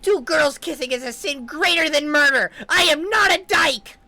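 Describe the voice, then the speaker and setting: A shrill young female scold in a storybook performance. She is high, piercing and bright, forever preachy and scandalised, over faint music. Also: normal speed. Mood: shrill, disgusted. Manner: outraged, preachy exclamations.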